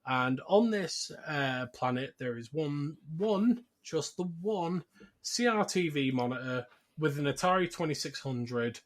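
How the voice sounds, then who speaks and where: A man co-hosting a podcast, talking through a film in a casual, conversational recap with quick acted asides. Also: a little high, expressive. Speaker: podcast co-host.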